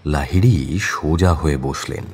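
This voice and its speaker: A man's voice: extremely low pitch, measured pace, a little mellow, slightly rough. A man narrating a detective audio story in a dramatic, scripted style.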